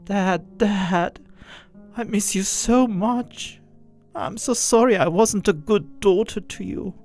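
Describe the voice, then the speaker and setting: A man's voice: high-pitched, slow pace, gravelly, mellow, soft background music, pitched above the performer's own voice, heavily acted. A man doing a pleading, tearful young woman. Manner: pleading, emotional.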